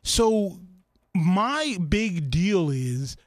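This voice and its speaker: A man hosting a casual chat, playful and quick to laugh and interject. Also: high-pitched, sing-song, no echo, clean studio sound. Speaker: talk show host.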